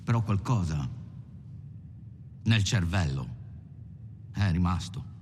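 An actor playing an ageing, haunted prisoner in a hesitant, introspective film monologue. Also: male voice, animated, slow, darkish tone. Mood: resigned, brooding. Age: older. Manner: hesitant, introspective, plain-spoken. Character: ageing male prisoner, defeated, haunted, resigned. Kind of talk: dramatised confessional film monologue. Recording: long reverb, faint rumbling noise.

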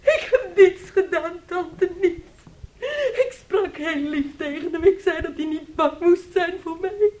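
An actress playing a fragile, anxious young niece in a Dutch radio play, pleading and hesitant. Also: female voice, extremely high pitch, measured pace, darkish tone. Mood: tearful, distressed. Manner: plaintive, hesitant, earnest.

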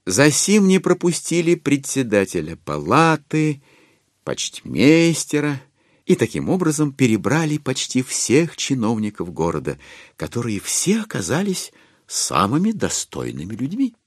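An actor narrating a classic novel in an audio drama as a wry storyteller. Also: male voice, medium pitch, very animated, measured pace, long pauses, dry recording, studio quality. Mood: wry, measured.

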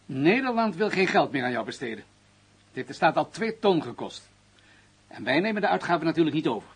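An actor playing an unyielding police superior in a radio play, brusque, commanding and dismissive. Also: male voice, on the high side, big pitch swings, brisk, breathy edge. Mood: stern. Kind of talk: radio drama acting.

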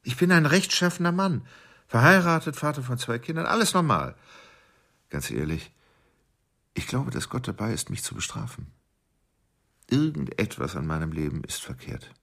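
An actor playing a punctilious, irritable married man in a radio drama monologue, husky and clipped, seething under his orderly manner. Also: medium pitch, expressive, moderate pace, long pauses, a bit bright. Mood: agitated, troubled. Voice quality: gravelly, husky, breaking into whispers.

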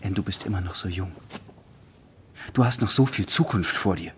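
A man's voice: deep voice, moderate pace, fairly bright tone, a little hiss or hum. A man acting in a German radio play as an earnest, lovesick, upright naval officer with a formal, pleading way of talking. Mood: urgent persuasion. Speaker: radio drama actor.

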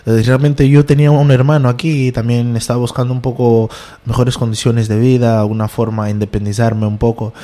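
A man's voice: medium pitch, little pitch movement, fairly quick, dark tone. A man from Portugal, a native Portuguese speaker with a Portuguese accent, talking as a guest musician in a casual radio interview, often hesitating and repeating words as he searches for them.

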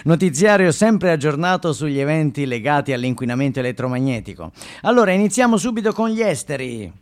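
A man's voice: fairly high pitch, sing-song, brisk. A man playing a bumbling, upbeat TV news anchor in a stage monologue, announcing emphatically with repeats and stumbles. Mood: bright, eager. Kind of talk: mock TV newscast in a stage monologue.

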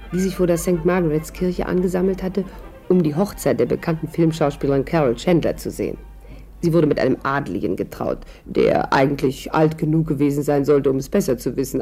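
A woman's voice: low voice, warm tone. An actress playing a witty young woman who recounts her adventure in a chatty, wry way in a 1950s radio play. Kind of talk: scripted first-person radio play narration.

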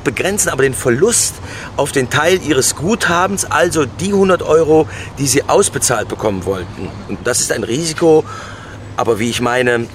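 A man's voice: a little high, a bit bright, a little hiss or hum. An actor playing an earnest, self-justifying bank spokesman explaining a product in a radio play interview. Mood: reassuring, defensive.

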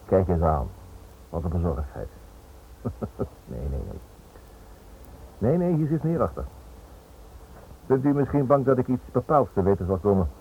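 An actor playing a stubborn, suspicious Dutch writer in a radio play, wry and probing with hesitant pauses, on an old thin recording. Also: male voice, on the low side, big pitch swings, lo-fi sound, slight background noise.

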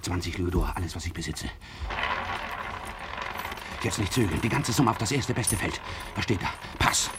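An actor playing a lovesick, obsessive young gambler in a German radio drama, with urgent theatrical delivery. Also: male voice, low voice, animated, moderate pace, long pauses. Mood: feverish. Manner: theatrical, urgent, breathless whispers to outbursts. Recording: noisy vintage radio play recording.

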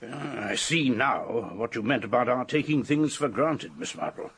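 Earnest detective type in radio play, husky voice, lively pitch, polite bit hesitant. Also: male voice, normal speed. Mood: reflective. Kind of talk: British radio drama acting.

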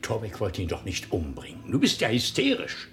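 A man's voice: on the low side, wildly swooping pitch, fairly quick. An actor playing a defensive, evasive businessman husband in a German radio drama, indignant and dismissive.

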